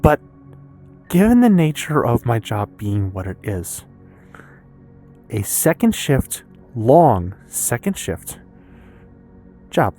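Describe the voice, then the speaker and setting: A woman in her early twenties with an American accent talks candidly and casually in a confessional personal vlog, rambling haltingly with pauses and some swearing. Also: a bass voice, very animated, mellow, soft background music. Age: early 20s. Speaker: vlogger and streamer.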